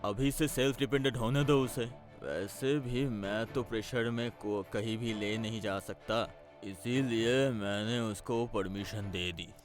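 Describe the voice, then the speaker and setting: Low-voiced supportive cartoon father played by a man. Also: fairly even intonation, a little slow, few pauses, soft background music, lightly acted. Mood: reasoning. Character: calm, supportive middle-aged father of a college girl. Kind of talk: Hindi cartoon story voice acting.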